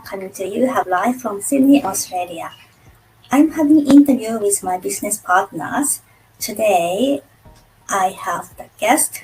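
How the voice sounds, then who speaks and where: A woman hosting an interview over a video call, asking her questions slowly, carefully and politely. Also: on the high side, slight background noise, low-quality recording. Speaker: interview host. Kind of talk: casual interview chat.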